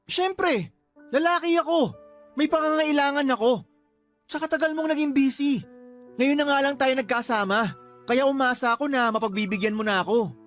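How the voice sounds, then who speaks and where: A man's voice: extremely high pitch, moderate pace, pauses often, a bit bright, soft background music. A man playing a sulky, complaining young boyfriend in a radio drama, whiny and exasperated in manner. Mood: defensive, sulky. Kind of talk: Tagalog radio drama acting.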